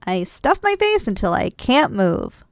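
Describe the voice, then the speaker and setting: A woman playing an affectionate, drowsy, playful wife in an intimate ASMR roleplay, speaking softly and teasingly. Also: medium pitch, big pitch swings, a little slow, gravelly, no room sound, clean studio sound. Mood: teasing, fond. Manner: soft, affectionate, teasing, with sighs and giggles. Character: affectionate, drowsy, playful wife cuddling after a big dinner. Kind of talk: ASMR roleplay, intimate one-sided dialogue.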